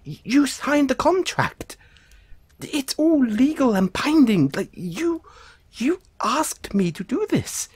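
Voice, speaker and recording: A man playing an anxious, apologetic dollmaker in an audio roleplay, stammering and halting as he speaks to the listener. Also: very high-pitched, big pitch swings, a little mellow, slightly rough, dry recording, exaggerated voice. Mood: panicked, defensive. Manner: stammering, halting, flustered, placating. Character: anxious, apologetic male dollmaker who dabbles in soul magic.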